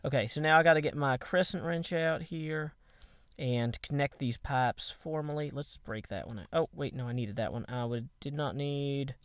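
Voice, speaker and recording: A man's voice: medium pitch, normal speed, clean tone, no room sound. A man chatting casually while playing a building game, thinking aloud with many fillers and restarts. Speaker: game player. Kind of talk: casual gameplay chat.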